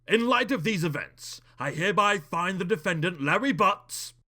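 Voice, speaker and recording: A man's voice: high-pitched, animated, moderate pace, pushed and bright, full character voice. A man voicing a pompous, easily amazed judge with formal, declamatory delivery in a cartoon parody. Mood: solemn, declaring. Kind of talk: comedic parody voice acting.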